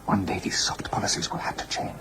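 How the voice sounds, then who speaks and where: An actor playing a cold, ruthless dictator, curt and imperious, in a radio drama. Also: male voice, fast talker, bright, forceful tone, whispered, lightly acted.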